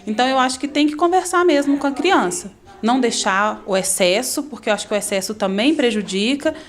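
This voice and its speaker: A woman's voice: a little high, expressive, fairly quick. A woman, a mother, telling an interviewer about her son in plain conversational speech. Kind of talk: interview testimony.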